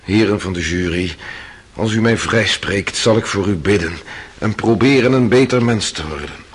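A man's voice: on the low side, normal speed, slightly rough. An actor playing an anguished accused man who solemnly pleads his innocence to a jury in a radio play. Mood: earnest, agitated. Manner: solemn, pleading, emphatic declarations. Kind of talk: Dutch radio play acting.

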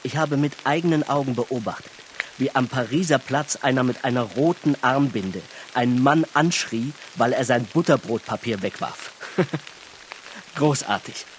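Actor as self-satisfied male bourgeois businessman, radio play. Mid-pitched, sardonic, lecturing, over faint rain-like noise. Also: moderate pace, natural voice. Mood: condescending, amused. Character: smug, talkative bourgeois Berlin businessman, conservative and self-satisfied. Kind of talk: radio drama acting.

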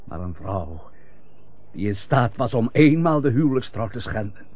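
Husky, bitter betrayed husband. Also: male voice, mid-range voice, big pitch swings, moderate pace, a little mellow. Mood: wounded resignation. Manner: formal, bitter, theatrical. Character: bitter, proud, wounded betrayed husband, stubbornly unforgiving. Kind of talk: classic European radio drama acting. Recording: dull lo-fi old radio recording.

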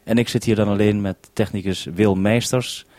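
A man's voice: lowish voice, fairly even intonation, normal speed, a little mellow, dry recording. A male radio presenter in a casual, businesslike radio-contact conversation, ending turns with "over". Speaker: radio presenter at the base station.

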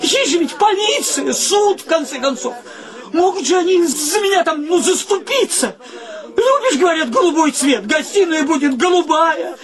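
High-voiced, excitable young bachelor. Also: male voice, normal speed. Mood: panicked. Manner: excitable, gushing, exclamatory. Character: flustered, romantic young bachelor, easily panicked. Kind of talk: theatrical radio play acting.

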